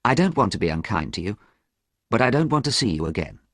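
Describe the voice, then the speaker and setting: A man's voice: on the low side, very animated, quick pace, a bit bright, no room sound, clean studio sound. A man doing Dorian, a cold proud young man. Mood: cold bitter.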